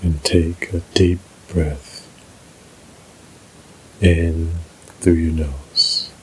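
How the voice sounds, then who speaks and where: A man narrating a scripted guided meditation in a soothing, measured, unhurried way. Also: deep voice, steady tone, a little hiss or hum, rough recording.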